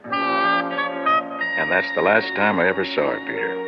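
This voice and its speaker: An insistent, self-centered suitor, old muffled radio. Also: male voice, fairly low pitch, huge pitch swings, slow pace, loud music behind the voice, exaggerated voice. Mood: resolved, wistful. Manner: insistent, earnest, declarative. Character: self-centered, ardent man wooing his old flame. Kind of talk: classic radio drama acting. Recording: muffled, lightly noisy old radio recording.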